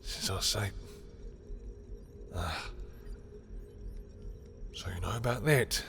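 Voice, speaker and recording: A man playing a lovestruck, bitter villain in an intimate audio roleplay, speaking in a halting, emotional way. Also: on the low side, a bit bright, soft background music, exaggerated voice. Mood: guarded, flustered. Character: lovestruck, bitter male villain confessing to a rival.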